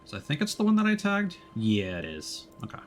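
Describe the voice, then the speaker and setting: A man giving casual live commentary as he streams a video game, often pausing to think aloud. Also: on the high side, huge pitch swings, a little hiss or hum.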